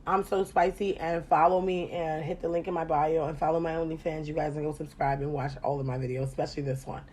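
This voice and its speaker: A woman hosting a casual podcast chat, animated and profane, her voice jumping loud and high when she gets excited. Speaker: podcast host.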